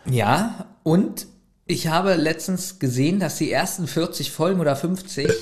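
Ein Mann, der als Co-Host in einem lockeren Podcast mit gespieltem Ernst seinen Partner aufzieht. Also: male voice, a little high, measured pace. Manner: playful, mock-serious ribbing. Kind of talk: casual podcast chat.

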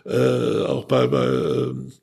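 Older man, heavy creaky rasp, husky tone, halting conversational delivery, frequent tag questions. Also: medium pitch, completely flat delivery, darkish tone. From Ruhr region, Germany. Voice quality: gravelly, husky. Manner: halting, hesitant, often tags sentences with a questioning word. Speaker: author, interview guest. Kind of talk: conversational interview.

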